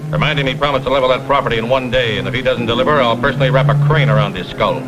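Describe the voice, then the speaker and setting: A man's voice: mid-range voice, rapid-fire, lo-fi sound, loud music behind the voice, natural voice. An actor playing a blunt, boastful middle-aged millionaire businessman and protective father in a vintage TV crime drama. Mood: blustering, bossy. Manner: blunt, forceful, boastful, clipped sentences. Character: gruff, blustering self-made millionaire businessman, protective father. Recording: noisy vintage TV soundtrack.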